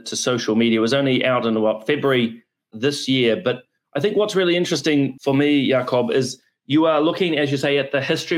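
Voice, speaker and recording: New Zealand male host, effusive and eager, mid-pitched. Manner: enthusiastic, effusive introductions. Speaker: podcast host. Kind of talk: interview hosting.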